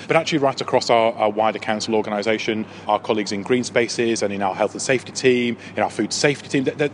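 A British man giving interview answers in an emphatic way, often repeating words for stress. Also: on the low side. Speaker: theatre manager. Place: inside a circus big top tent. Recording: noisy location recording inside big tent.

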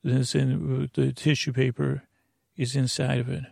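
A sleepy kid, played by a man, murmurs low-key nonsense in halting, repeated scraps. The voice is creaky and very close and dry, as if half dreaming through an improvised interview. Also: level tone, a little mellow, dry recording, exaggerated voice. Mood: drowsy, muddled. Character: drowsy sleeping kid mumbling nonsense answers.

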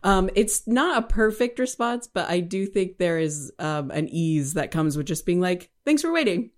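Laughing Midwestern woman, lower voice. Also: expressive, normal speed. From American Midwest. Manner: chatty, laughs often, playful. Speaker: podcast co-host. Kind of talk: casual chat.

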